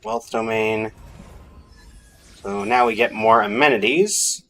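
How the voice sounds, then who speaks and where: A man's voice: fairly low pitch, little pitch movement, slow pace, bright, forceful tone. A man giving casual gameplay commentary as a streamer, half-muttering his thoughts aloud to himself. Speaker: game streamer. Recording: music behind the voice.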